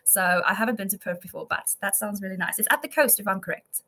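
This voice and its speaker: Bright-voiced young woman teaching English online. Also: medium pitch, quick pace. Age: 20s. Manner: encouraging, affirming. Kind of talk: teaching a one-to-one online lesson.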